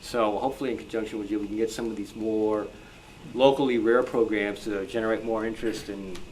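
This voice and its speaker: Male history researcher, slightly low voice, informal panel talk, noisy live recording. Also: steady tone, a little fast, a little mellow. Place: club meeting room. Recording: clearly noisy live room.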